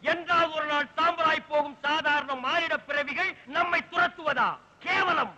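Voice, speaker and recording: A man's voice: very high-pitched, steady tone, measured pace, bright, forceful tone, full character voice, rough recording. A man playing Yama, the pompous lord of death, in a theatrical, grandiloquent, scolding manner for a mythological comedy film. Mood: scornful, boastful.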